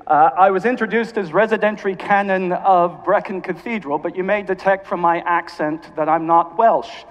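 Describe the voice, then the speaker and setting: A man from South Carolina with a mid-Atlantic accent, a cathedral canon giving a guest sermon with dry humor; he is jet lagged. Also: high-pitched. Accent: mid-Atlantic, American Southern with British. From South Carolina, USA. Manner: genial, dry humor, measured. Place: church. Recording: dull sound, long reverb of a church.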